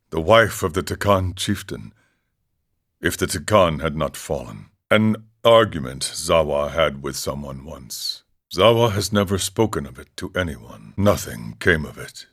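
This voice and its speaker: Deep-voiced, solemn warrior monk. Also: male voice, a bit bright, heavily acted. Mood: somber, reflective. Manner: measured, philosophical, speaks of himself in third person. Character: grave aging warrior monk, proud yet regretful seeker. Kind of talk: scripted video game character dialogue.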